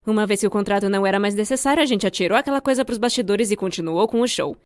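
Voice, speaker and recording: A woman's voice: mid-range voice, racing, no echo, studio quality. A young adult woman with a Brazilian accent giving scripted, comedic storytime narration in a theatrical, wry way. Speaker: storyteller, fan-dub voice actor.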